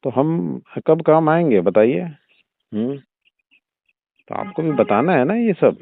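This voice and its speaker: A man's voice: on the high side, lively intonation, slow, creaky, no echo, full character voice. A man playing an older, indulgent father-in-law who talks in a coaxing, suggestive, familiar way in a staged phone-call drama. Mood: reassuring, persuasive. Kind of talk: staged phone-call audio drama in everyday conversational speech. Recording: muffled narrow-band phone-line sound.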